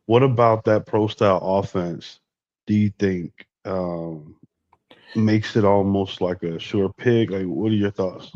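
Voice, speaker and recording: Low-voiced man on a dull, dry mic. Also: slow, dry recording. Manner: animated, incredulous, many fillers. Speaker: podcast co-host. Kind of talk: casual sports chat.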